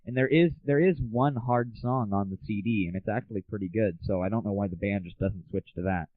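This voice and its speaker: Tinny, low-voiced man hosting casually. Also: brisk, no room sound. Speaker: show host. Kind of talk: casual solo comedy show monologue. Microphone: cheap malfunctioning home microphone. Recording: tinny lo-fi home recording, faulty microphone.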